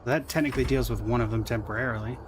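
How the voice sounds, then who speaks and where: A man's voice: medium pitch, steady tone, rapid-fire, noisy background. A man giving casual live gameplay commentary as a streamer, muttering his tactical thoughts aloud. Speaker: game streamer. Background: loud game music.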